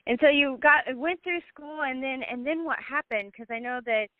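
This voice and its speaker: A woman's voice: high voice, moderate pace, dry recording. A woman hosting a podcast, asking curious, encouraging, meandering questions. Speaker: podcast host. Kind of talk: interviewing a guest. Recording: dry tinny phone-like sound.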